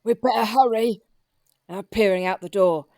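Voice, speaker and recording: A woman's voice: medium pitch, normal speed, darkish tone, no room sound, clean studio sound. A woman improvising in-character dialogue as a player in a roleplay podcast.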